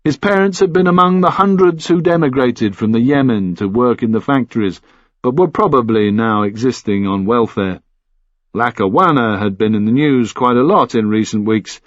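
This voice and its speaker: A man's voice: medium pitch, dry recording, lo-fi sound. A man reading scripted first-person thriller narration as an audiobook narrator.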